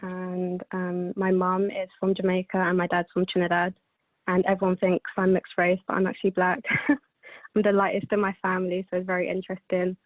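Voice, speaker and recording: A woman's voice: lowish voice, monotone, normal speed, dry recording. A woman, a fashion student who chats freely and laughs easily. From London, United Kingdom. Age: 20s. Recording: tinny dry phone-like call audio.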